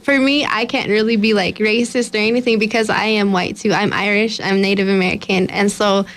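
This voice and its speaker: A woman's voice: mid-range voice, fairly even intonation, a little fast, fairly bright tone. A teenage girl in a casual discussion who fills her talk with words like "like". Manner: casual, uses fillers like "like". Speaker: discussion participant.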